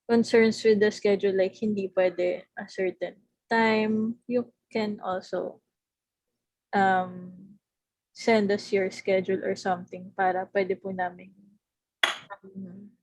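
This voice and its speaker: A woman's voice: medium pitch, little pitch movement, slow, darkish tone, dry recording, poor audio. A young woman with a Filipino accent, speaking politely and deferentially as she organizes things on a group video call. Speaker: organizer of a table read. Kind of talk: casual meeting talk.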